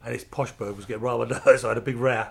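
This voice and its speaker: A man's voice: mid-range voice, fairly even intonation, very fast, vocal fry. A man in his fifties with a London accent, bantering casually over an old film, teasing and matey with his friend. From London's East End. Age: 50s. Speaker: film commentator.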